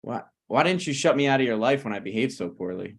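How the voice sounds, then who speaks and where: A man's voice: medium pitch, expressive, fast talker, creaky, breathy edge. A man reading the part of a flippant, needling, self-deprecating screenwriter in a conversational comedy table read. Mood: wry, teasing.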